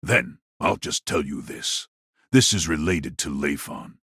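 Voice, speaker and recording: A man playing a stern, commanding elite warrior in an audio drama, curt and husky. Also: a bass voice, expressive, unhurried, sharp tone, no echo, clean studio sound, exaggerated voice. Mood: curt, insistent. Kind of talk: audio drama character acting.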